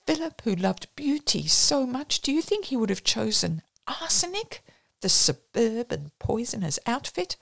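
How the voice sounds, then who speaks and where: A hoarse, brandy-soaked young man in grief, voiced by a woman reader, rambling in a low, maudlin way. The recording is close and dry. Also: very animated, measured pace, a bit bright, no echo, heavily acted. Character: grieving, drunk young male poet.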